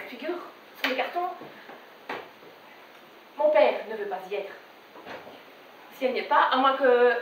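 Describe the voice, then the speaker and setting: A woman's voice: fairly high pitch, a bit bright, recorded from a distance. A woman on stage playing an exasperated bride in a dramatic, emphatic theatrical monologue. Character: exasperated bride recounting family wedding-invitation squabbles. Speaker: amateur theatre actress. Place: theatre stage at a dress rehearsal. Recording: echoey stage recorded from afar, faint noise.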